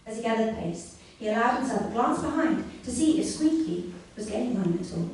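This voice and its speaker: A woman with a fairly high, very animated voice reads a comic story to a live room. Her delivery is a deliberate mock bedtime-story style, in a strongly echoing space. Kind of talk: scripted comic story read live on stage. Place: live comedy venue, indoors. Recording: very echoey room, distant mic, faint noise.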